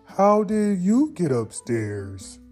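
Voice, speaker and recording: A stern male night watchman from a children's storybook, put on by a woman reader. The voice is low and mellow and swings widely as it questions suspiciously. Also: sing-song, takes it slowly, heavily acted. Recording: music behind the voice.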